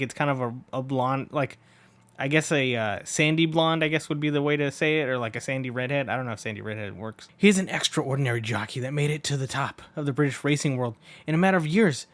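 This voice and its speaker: A man's voice: mid-range voice, fairly quick, fairly bright tone, dry recording. A man hosting a manga read-along in a casual, informal way, with rambling asides between the lines he reads.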